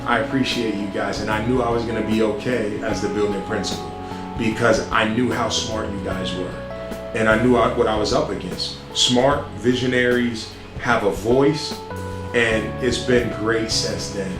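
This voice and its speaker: A middle-aged man, a school principal, answering interview questions in a loud, earnest way with frequent ums and restarts. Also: lowish voice, steady tone, normal speed. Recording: roomy sound with music behind.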